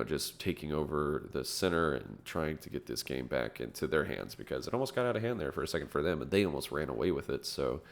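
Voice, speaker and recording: Gravelly deep-voiced male game caster, stuffed-up. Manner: excitable, fast reactions and laughs. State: nasal from allergies. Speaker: gameplay commentator. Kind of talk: casting a strategy game match.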